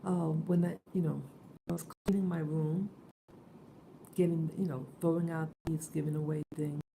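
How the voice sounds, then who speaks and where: A woman just awake, hoarse and soft-spoken, talking hesitantly in a casual morning vlog. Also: low voice, level tone, normal speed, a little hiss or hum, low-quality recording. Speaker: vlogger.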